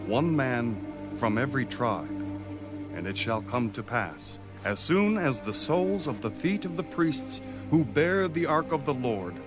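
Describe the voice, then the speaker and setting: A man acts a commanding leader addressing his people in an audio Bible drama. He declaims solemnly at a very slow, deliberate pace in a mid-pitched voice, with music behind. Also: very animated. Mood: solemn proclaiming.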